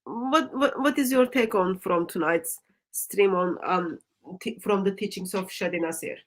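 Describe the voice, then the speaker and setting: Halting woman with a Turkish accent. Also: mid-range voice. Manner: halting, many ums and restarts. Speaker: stream host. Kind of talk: livestream discussion.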